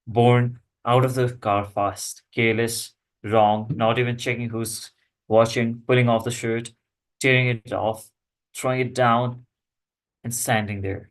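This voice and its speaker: A man reading screenplay stage directions aloud in halting, fragmented phrases. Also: medium pitch, steady tone. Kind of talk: script read-aloud.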